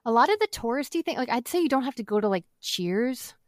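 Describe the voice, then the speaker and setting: A woman's voice: on the high side, very animated, fast, no room sound, clean studio sound. A woman from Massachusetts chatting casually as a podcast guest, hesitant with lots of fillers. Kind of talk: casual chat.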